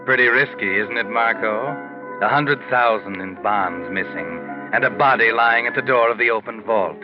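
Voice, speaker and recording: An actor playing a knowing, taunting narrator of a 1940s radio mystery, speaking straight to the criminal. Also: male voice, on the low side, normal speed, natural voice. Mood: taunting, sly. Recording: muffled old radio recording with music.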